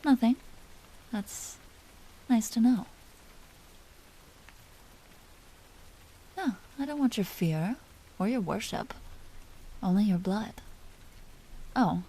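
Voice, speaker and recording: Woman voicing a slow, breathy, seductive vampire duchess. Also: mid-range voice, warm tone, poor audio. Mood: teasing. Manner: slow, breathy delivery dropping often into whispers. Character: dominant female vampire duchess, seductive, teasing, coolly imperious. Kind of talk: scripted audio roleplay, intimate monologue to listener.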